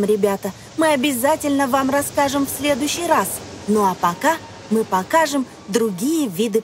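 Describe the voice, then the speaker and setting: A woman reading scripted educational narration for young children, phrasing deliberately and putting questions to the listener. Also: a little high, a little hiss or hum. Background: music.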